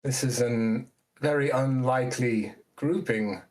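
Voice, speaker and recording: A stern guardian woman of a fantasy camp, acted out by a man, speaks in measured, slow phrases. She is brusque and formal, with little patience for strangers in this tabletop game. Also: mid-range voice, darkish tone, natural voice, low-quality recording. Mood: stern, suspicious.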